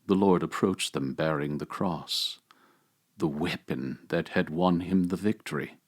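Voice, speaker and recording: A man's voice: very deep voice, a little slow. A man reading an ancient religious homily aloud in a solemn, theatrical way with emphatic phrasing. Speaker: lay reader of liturgical texts.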